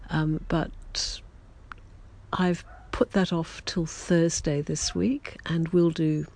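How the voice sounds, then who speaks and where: A writer keeping a daily audio diary, talking to listeners in a gentle, halting way with many pauses and self-corrections, a little hoarse after losing their voice that morning. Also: medium pitch, flat delivery. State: recovering from a lost voice, slightly hoarse. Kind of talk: slow reflective personal chat to listeners.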